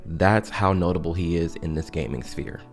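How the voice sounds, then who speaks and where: A man's voice: low-pitched, normal speed. A young man giving emphatic, opinionated commentary straight to his viewers. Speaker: video commentator. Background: music.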